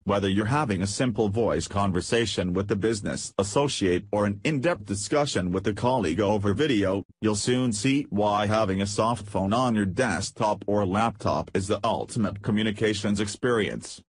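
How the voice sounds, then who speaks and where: A man reading scripted step-by-step software instructions in a measured way as a tutorial voice-over. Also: fairly low pitch, slightly rough, no room sound.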